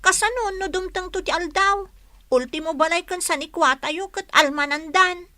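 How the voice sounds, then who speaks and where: Bright, high-voiced obedient village child. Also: female voice, normal speed, no room sound. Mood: worried, protesting. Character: obedient young child of a village farmer, earnest, worried. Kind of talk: radio drama acting in Ilocano.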